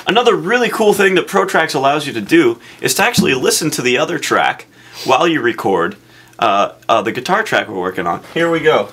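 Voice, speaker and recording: Bright-voiced man doing a deadpan product demo. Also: fairly high pitch, fairly quick, slightly rough. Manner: earnest, rambling, many uh fillers. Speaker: demo presenter.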